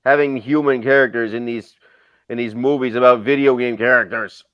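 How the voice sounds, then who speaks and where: A man's voice: mid-range voice, fairly even intonation, normal speed, dry recording. A man chatting casually as a stream host on an online voice call. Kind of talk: casual chat. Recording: dry, dull online call audio.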